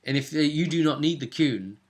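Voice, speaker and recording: A man speaking as game master and host, casually recapping a tabletop roleplay session in an animated, explanatory manner. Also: on the high side, brisk.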